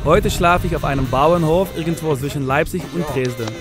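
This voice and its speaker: A man's voice: on the high side, fairly quick, over loud music. A man from Belgium with a Belgian accent, hosting and narrating a travel documentary series in a scripted first-person style.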